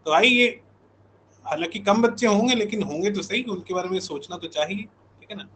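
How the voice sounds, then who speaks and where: A man's voice: high-pitched, brisk, fairly bright tone, a little hiss or hum, low-quality recording. A man in his thirties from India talking informally as an online coaching teacher, chatting with his live class and often checking in. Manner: informal, conversational, repeats check-in tags. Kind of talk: casual live class chat.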